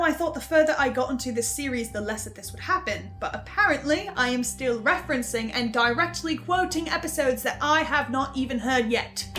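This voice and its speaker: Woman as halting archivist recording notes, higher female voice, music underneath, stammering audio drama delivery. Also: fairly bright tone. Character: hesitant, hungry marked archivist recording a podcast reaction.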